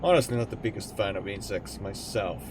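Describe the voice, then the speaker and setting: A man's voice: lowish voice, expressive, fairly quick, noisy background. A young man from Estonia with an Estonian accent, a streamer chatting casually and jokingly with his live chat. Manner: rambling and jokey, answering chat.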